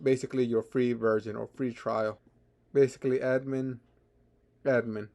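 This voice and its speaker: Hesitant man walking through a software tutorial. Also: mid-range voice, level tone. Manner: hesitant, halting, stammering and repeating words. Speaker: tutorial presenter. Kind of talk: unscripted software screen tutorial.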